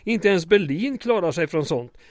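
Man playing a flustered, high-voiced small-town mayor. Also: expressive, a little mellow, no room sound. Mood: smooth flattery. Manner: wordy, formal, stammering when flustered. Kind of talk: old-time radio comedy drama acting.

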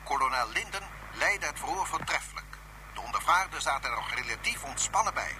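A deferential, anxious aide, acted by a man in a space radio play, reporting formally to his master. His voice is raised and swings widely in pitch, with clear noise and machinery-like ambience around it. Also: animated, a little fast, natural voice. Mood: formal, defensive. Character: deferential, flustered male military aide to a tyrant. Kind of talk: science-fiction radio drama acting.

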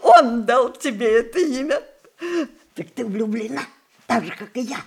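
An actress playing a proud, tender old grandmother in an audio play, with theatrical, unhurried, knowing delivery. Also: a little high, expressive. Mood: tender, probing. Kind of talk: Russian stage-style audio play acting.

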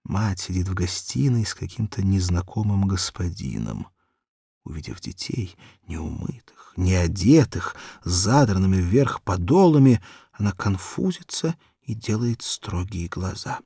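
Low-voiced, expressive male storyteller. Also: vocal fry, breathy edge, no echo, clean studio sound. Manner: theatrical, measured storytelling. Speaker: narrator. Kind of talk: expressive literary audiobook narration for children.

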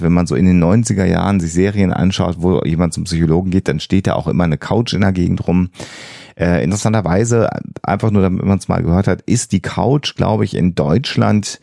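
A man's voice: low voice, brisk, creaky, dark tone, no echo, clean studio sound. A man co-hosting a podcast, explaining things in a conversational way. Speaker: podcast co-host.

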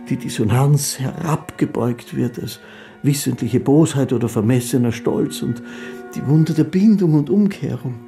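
An actor playing a poor, gentle, devout old fiddler who recalls his life in a halting, reverent monologue for a radio play. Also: medium pitch, creaky. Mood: rapt, reverent.

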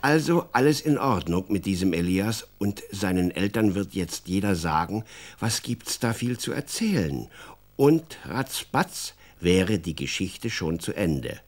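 A man's voice: deep voice, very animated, a little slow. A man narrating a scripted children's story, addressing his young listeners directly as a storyteller.

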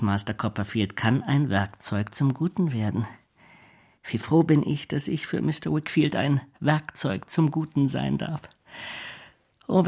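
An actor playing a fawning, falsely humble, scheming clerk in a German radio drama, with servile, self-abasing manners and a raspy voice. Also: male voice, medium pitch, darkish tone. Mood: fawning gratitude. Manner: servile, obsequious, gushing with self-abasement.

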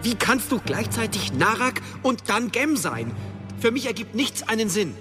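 An actor playing a defiant, anguished young fantasy hero in a radio drama, talking in an agitated, intense way. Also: male voice, high voice, sing-song, normal speed. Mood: bewildered, indignant. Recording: music behind the voice.

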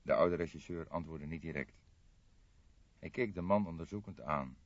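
A man's voice: very deep voice, normal speed, no room sound. A man reading scripted audiobook narration of a detective story. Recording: dull, dry lo-fi recording.

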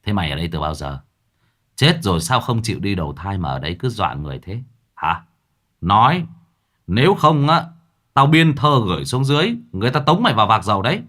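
A man voicing a stern young man who threatens a ghost in a gruff manner. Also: sits low, animated, quick pace, a bit bright, voice pushed down.

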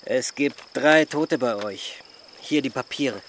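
An actor plays a terse man of authority in a radio drama. His voice is medium in pitch and his phrases are short and commanding. Clear outdoor noise with insects lies behind him. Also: slow, lightly acted. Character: curt, commanding man instructing a village girl.

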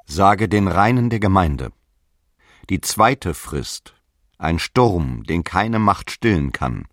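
Fervent apostle, low-pitched, dry sound, solemn, commanding, archaic radio drama preaching. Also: male voice, animated, fairly bright tone, dry recording, heavily acted. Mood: apocalyptic, grave. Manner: grave, commanding, measured preaching.

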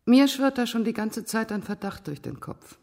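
A woman's voice: fairly high pitch, animated, normal speed, a little mellow. A woman playing a skeptical, blunt homicide detective with a dry, pointed manner in a radio drama.

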